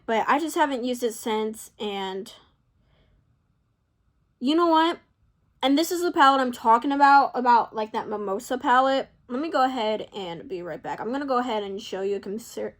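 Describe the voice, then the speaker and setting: A young woman vlogger talking casually to camera, rambling and indecisive, her voice a little hoarse from talking for hours. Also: sits high. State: losing her voice. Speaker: beauty vlogger.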